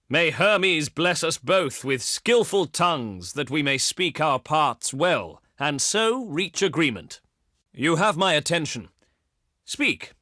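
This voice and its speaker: Pompous, theatrical ancient Greek diplomat. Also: male voice, a little high, sharp tone, dry recording, studio quality, exaggerated voice. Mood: courtly, welcoming, then impatient, condescending. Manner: pompous, declamatory, measured oratory. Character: haughty, eloquent ancient Greek diplomat, a grown man. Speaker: video game voice actor. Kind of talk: theatrical scripted game voice lines.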